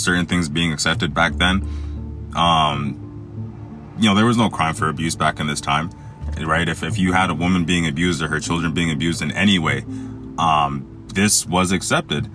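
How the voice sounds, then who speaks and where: Very deep-voiced man reading with music. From Egypt. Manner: halting, many fillers and restarts while reading. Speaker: podcast host. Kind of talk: reading aloud and commenting in a solo podcast. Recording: music playing underneath.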